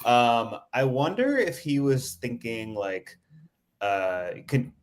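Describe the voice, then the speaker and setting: A man chatting casually as a podcast guest, working through his thoughts with lots of hesitation. His voice sits at an everyday pitch. He sounds easygoing and a little unsure. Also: sing-song, slow pace, a little hiss or hum. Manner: hesitant, many fillers and restarts. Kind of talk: casual chat.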